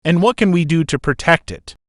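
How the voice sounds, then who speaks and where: A man with a fairly high voice plays news anchor in an amateur scenario. He is formal and polite, with non-native phrasing. The recording is very dry. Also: a little fast, fairly bright tone, no echo. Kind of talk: mock breaking-news presenting.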